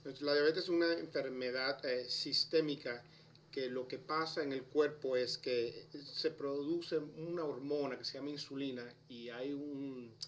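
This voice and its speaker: A man from Puerto Rico, a specialist, explaining a disease carefully with pauses as a guest. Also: mid-range voice, steady tone, fairly bright tone, slight background noise. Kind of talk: explanatory interview answers.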